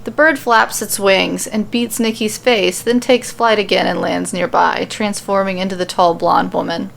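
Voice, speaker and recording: A woman's voice: mid-range voice, fairly even intonation, normal speed, gravelly, a bit bright. Woman narrating a story, slightly noisy recording. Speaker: storyteller reading fan fiction aloud. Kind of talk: scripted story narration with voiced dialogue.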